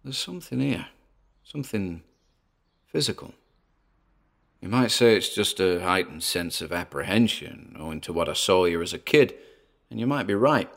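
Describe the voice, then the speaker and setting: Low-voiced, gravelly male paranormal investigator, jittery but driven, confiding in listeners on a solo recording for a horror audio drama. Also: lively intonation, moderate pace, long pauses, heavily acted. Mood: apprehensive.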